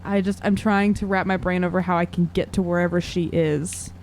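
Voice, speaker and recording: A woman's voice: on the low side, little pitch movement, fairly quick, a little mellow, slight background noise. A woman playing a tabletop role-playing game, talking casually and thinking aloud about her moves. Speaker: player on an actual play podcast.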